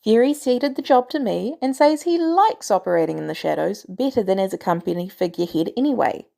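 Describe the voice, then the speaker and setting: A woman's voice: mid-range voice, expressive, fairly quick, vocal fry, dark tone. A woman voicing a brusque, commanding female agency director in an audiobook story, blunt and sardonic with an air of authority. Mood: commanding, dry.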